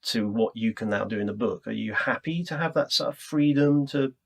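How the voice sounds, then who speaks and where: A middle-aged British man hosts a conversational interview in a husky voice with plenty of creak. He is chatty and enthusiastic, winding through long, musing questions. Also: medium pitch, fairly quick. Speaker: interviewer, podcast presenter. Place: audio studio interview.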